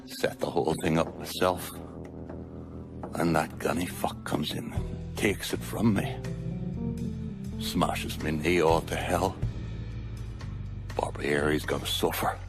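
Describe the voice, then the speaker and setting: An actor playing a bitter, foul-mouthed older Irish mobster in a video game, crude and swaggering, with an Irish accent. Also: male voice, very deep voice, steady tone, slow pace, pauses often, music in the background, heavily acted. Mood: scornful, vengeful. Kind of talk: dramatic video game cutscene acting.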